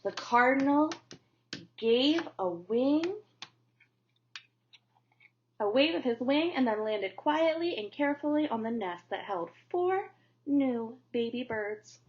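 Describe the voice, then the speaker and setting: A woman teacher reads a children's storybook aloud, slow and gentle. Her voice sits fairly high, and the recording is dull and lo-fi. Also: animated.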